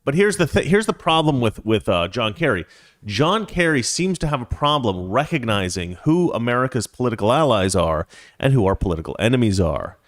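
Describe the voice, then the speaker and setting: A man's voice: medium pitch, very animated, fairly quick, dry recording, clean studio sound. A man hosting a talk show, giving casual political commentary in a rambling, conversational way that drifts into anecdotes.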